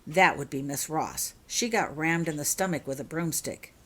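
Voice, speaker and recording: A woman acts out a school healer for a storybook. She is a brisk, kindly woman who gives instructions in a matter-of-fact way. Her pitch sits on the low side. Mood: brisk, caring.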